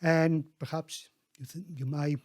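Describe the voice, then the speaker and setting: A middle-aged man reading his own book aloud as its author, expressively, with emphatic, deliberate phrasing. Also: fairly high pitch, fairly even intonation, darkish tone, no room sound, studio quality.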